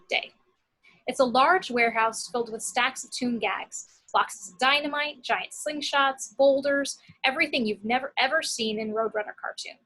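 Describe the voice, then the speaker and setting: A woman's voice: fairly high pitch, pushed and bright, rough recording. A woman reading the action descriptions of a screenplay as a scripted narrator.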